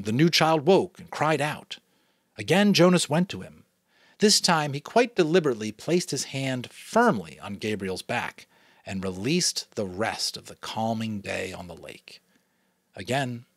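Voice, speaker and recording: Man from new england, dramatic audiobook reading, very lively pitch, dry close sound. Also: dry recording. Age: adult, a father. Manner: expressive, varied delivery. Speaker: audiobook reader.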